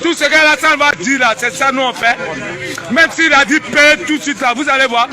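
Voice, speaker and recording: A man's voice: extremely high pitch, normal speed, crowd noise. A man with an Ivorian accent speaking colloquially and insistently, in an agitated, confrontational style straight to the listener. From Ivory Coast. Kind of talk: agitated informal street talk to camera.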